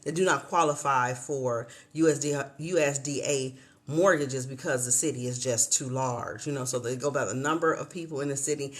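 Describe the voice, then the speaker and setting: A woman's voice: rumbling low, normal speed. A woman in her fifties from Chicago, hosting a casual livestream chat from a hotel room and addressing viewers as y'all. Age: 50s. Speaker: livestream host.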